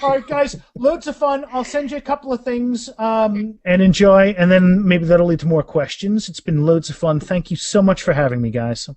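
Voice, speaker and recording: A man with a notably high voice talks casually as an author guest on a podcast. He is animated and jokes at his own expense. The recording is dry, with very little room sound. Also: normal speed, hardly any pauses, no echo. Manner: joking, self-mocking, animated.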